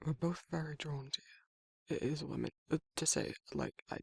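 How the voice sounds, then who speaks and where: Man acting a gravelly, halting, awkward werewolf lover. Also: a little high, no echo. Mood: flustered, tender. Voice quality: husky, gravelly. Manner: halting, stammering, hesitant. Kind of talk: intimate ASMR roleplay audio drama. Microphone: close microphone, dry sound.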